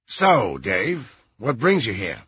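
A male narrator gives voice to a blunt police detective in an audiobook. He speaks with brisk authority in a forceful, husky male voice. The sound is close and dry. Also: fairly low pitch, wildly swooping pitch, dry recording, muffled recording, full character voice. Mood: easing off. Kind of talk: audiobook character voice.

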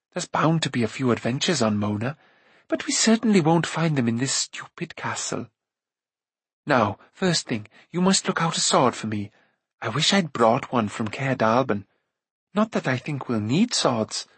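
A man's voice: medium pitch, breathy edge, no echo. A man voicing a headstrong young princess from a storybook, chattering and exclaiming with eager indignation. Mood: eager, bossy. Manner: rushed, breathless, exclaiming chatter.

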